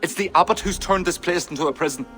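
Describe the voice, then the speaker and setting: A man's voice: high-pitched, fast, a bit bright, soft background music. An actor playing a captive male king who talks anxiously and defensively. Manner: anxious and pleading.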